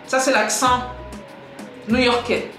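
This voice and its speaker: Lively woman teaching in an echoey room. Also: fairly high pitch, slow pace. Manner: lively, direct, addresses viewers as "les gars"; switches into English example sentences. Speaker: English teacher on a video channel. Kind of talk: casual spoken lesson to camera. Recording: echoey room with faint music.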